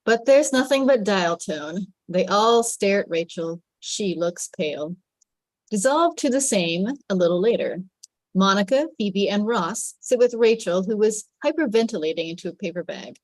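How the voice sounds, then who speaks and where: A woman's voice: mid-range voice, animated, moderate pace, dry recording. A woman reading scripted stage directions as narration at a table read.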